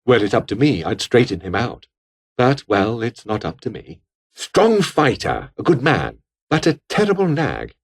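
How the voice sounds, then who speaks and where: Haughty British rebel officer, swooping intonation. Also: male voice, mid-range voice, big pitch swings, a little slow, husky, full character voice. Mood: dismissive. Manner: pompous, sneering, clipped formal phrasing. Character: haughty, bitter, scheming fallen-aristocrat rebel officer. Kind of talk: video game character dialogue.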